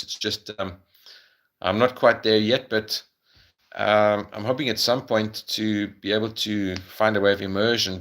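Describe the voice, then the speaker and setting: A middle-aged South African man talks through viewers' questions on a live stream at home. His voice sits a little low but sounds bright and forward. He pauses often, with plenty of ums and uhs and restarts. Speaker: medium answering viewer questions on a livestream. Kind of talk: casual livestream Q&A.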